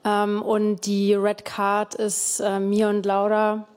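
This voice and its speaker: A woman's voice: mid-range voice, completely flat delivery, slow pace, darkish tone, clear, resonant tone. A young woman from Germany, a guest in an interview, explaining at length with many fillers and restarts. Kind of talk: conversational interview.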